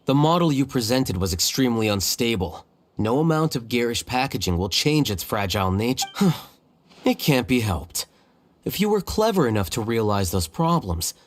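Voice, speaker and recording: A man's voice: mid-range voice, natural voice. Aloof, analytical male scholar voiced by an actor. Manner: matter-of-fact, analytical, dry. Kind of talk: scripted video game voice acting. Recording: voice over game music.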